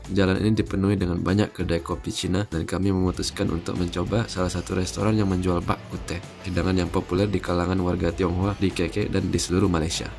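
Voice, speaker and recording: A man's voice: low voice, creaky. A man from Indonesia giving a scripted, informative travel voice-over. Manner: steady, informative delivery. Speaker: vlogger narrating.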